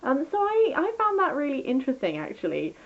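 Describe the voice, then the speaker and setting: A woman's voice: sits high, wildly swooping pitch, a little fast, dull audio. A woman chatting casually through a book review as a vlogger, rambling and enthusiastic, often interrupting herself.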